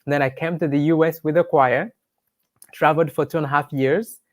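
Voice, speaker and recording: A man's voice: on the high side, steady tone, brisk, dry recording, clean studio sound. A man with a Rwandan accent shares his personal testimony as an interview guest, speaking in an earnest, devout storytelling way.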